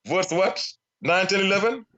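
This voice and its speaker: A man's voice: high voice, moderate pace, bright, forceful tone, muffled recording. A man calling in to a debate, arguing defensively and insistently, and stumbling over words when he reads passages aloud. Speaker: caller. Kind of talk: argumentative call-in debate.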